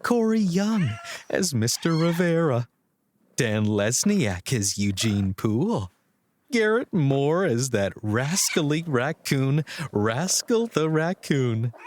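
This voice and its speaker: A man putting on a whimsical, genteel radio announcer for a comedy show. He takes his time, with swooping pitch and theatrical, playful asides. There is some noise behind him. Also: darkish tone. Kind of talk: comic radio announcing of credits.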